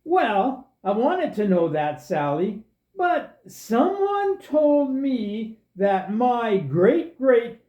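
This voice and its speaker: A woman's voice: fairly high pitch, sing-song, extremely slow, exaggerated voice. A woman voicing a naive, timid but friendly crocodile in a children's puppet show, with a simple, questioning delivery. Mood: earnest. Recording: dull, echoey room recording.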